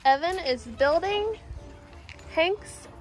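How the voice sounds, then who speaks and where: A woman in her twenties chatting informally to the camera in a casual farm vlog, doting and quick to laugh. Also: very high-pitched, lively intonation, soft background music, poor audio. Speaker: farm vlogger. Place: wooden barn and farmyard. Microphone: phone at arm's length.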